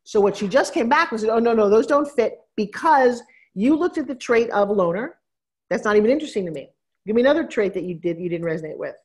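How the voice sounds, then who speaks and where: A woman business coach on stage at a live seminar, teasing and bossing a guest with quick interruptions. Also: on the high side, lively intonation, a little mellow. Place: event stage with audience.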